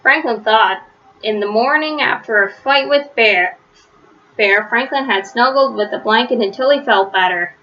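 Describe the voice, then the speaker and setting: Bright, high-voiced woman reading a storybook. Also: normal speed. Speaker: read-aloud storyteller. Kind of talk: children's storybook narration. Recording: slightly dull, lo-fi home recording.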